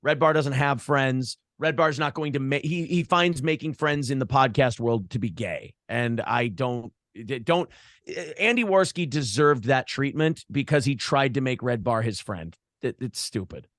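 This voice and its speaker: A man's voice: mid-range voice, lively intonation, normal speed, fairly bright tone, dry recording, clean studio sound. A man chatting casually as an interviewed podcaster, giving blunt, profane opinions. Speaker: podcast host being interviewed.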